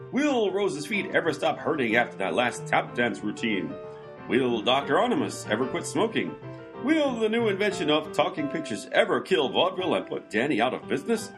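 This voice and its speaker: A lively, fairly high-pitched serial announcer voiced by a man over plucked string music. He asks theatrical questions with mock drama, in old-time radio style. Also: a little fast. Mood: mock-dramatic.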